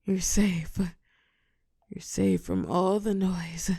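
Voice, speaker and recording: A woman playing a telepathic villain and former hero in a roleplay audio drama, protective and sharp-tongued, with a breathy voice that often drops to a whisper. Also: on the low side, fairly even intonation, dry recording, clean studio sound, exaggerated voice. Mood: tender, soothing. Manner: slow, commanding yet tender coaching.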